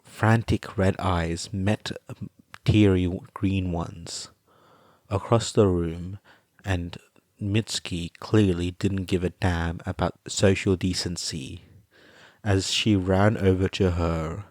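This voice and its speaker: A man narrates a fan story in a deep, low voice. He reads slowly and evenly. The recording is dry with no room sound. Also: no room sound. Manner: slow steady reading. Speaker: podfic reader. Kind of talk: fanfiction audiobook narration.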